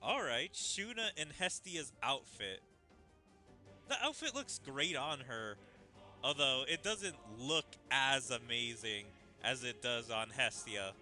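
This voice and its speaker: A man's voice: mid-range voice, expressive, slow, bright, forceful tone, faint music behind. A man giving casual gaming commentary, thinking aloud in a musing way as he follows a story. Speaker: gaming video creator.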